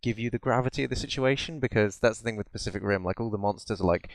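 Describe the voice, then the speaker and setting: Male podcast co-host, low smooth voice, rambling casual talk, dry close sound. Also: racing, no room sound. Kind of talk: casual film chat.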